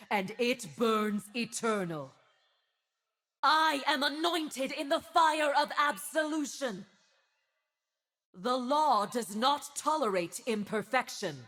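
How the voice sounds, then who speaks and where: Casting: divine female avenger for a video game; high-set voice, very measured pace, stern, zealous, grandiose. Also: fairly bright tone. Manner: solemn, grandiose declarations. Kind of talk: video game character voice lines.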